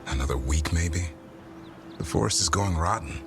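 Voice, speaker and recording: An actor playing a gruff but soft-hearted hunter who cares for a griffin, terse and understated, in a video game. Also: male voice, low-pitched, normal speed. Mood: wry, low-key. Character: gruff male warden hunter caring for a griffin, softening. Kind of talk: naturalistic video game acting. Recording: game music behind the voice.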